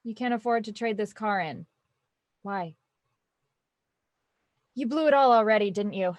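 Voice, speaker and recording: A woman's voice: medium pitch, animated, measured pace, dry recording, lightly acted. An actress playing an anxious young woman student, a hesitant but sarcastic younger sister. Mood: uneasy. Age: young adult.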